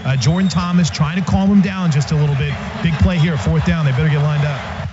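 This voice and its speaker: A man's voice: fairly high pitch, brisk. A man giving live football commentary as part of a radio broadcast crew. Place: football stadium. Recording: dull lo-fi radio broadcast, clear stadium noise.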